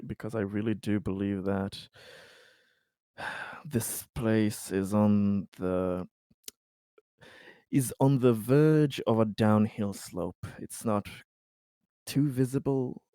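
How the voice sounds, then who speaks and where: A man playing a tabletop game, talking casually and in character in a deliberate, halting way. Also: lowish voice, warm tone, smooth, no echo, studio quality. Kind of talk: casual in-game chat and role-play.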